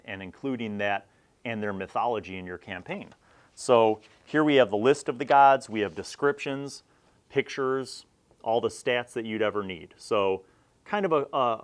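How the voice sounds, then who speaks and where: A middle-aged man hosting a casual review, chatting informally and thinking aloud with rambling asides. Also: fairly low pitch. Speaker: host reviewing old gaming magazines.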